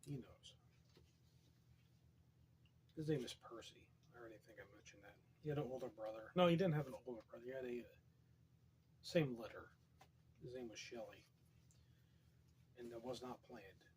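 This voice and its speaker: Quiet, hoarse-voiced man reading poetry. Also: medium pitch, normal speed, pauses often. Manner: quiet, soft-spoken, wry deadpan humor. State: hoarse, losing his voice. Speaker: poetry reader. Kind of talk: poetry reading with rambling asides.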